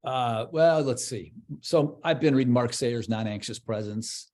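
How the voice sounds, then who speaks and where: A man in his fifties, a guest in a relaxed interview. His voice sits at an ordinary middle pitch, and he talks thoughtfully, hunting for words and trailing off. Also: a little slow. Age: 50s. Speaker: church leader guest. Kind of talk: casual interview conversation.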